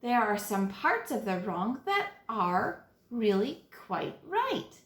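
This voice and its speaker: A woman teacher reading a children's picture book aloud, with dramatic, deliberate phrasing and stressed key words. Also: on the high side, big pitch swings, reverberant. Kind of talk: expressive children's read-aloud narration.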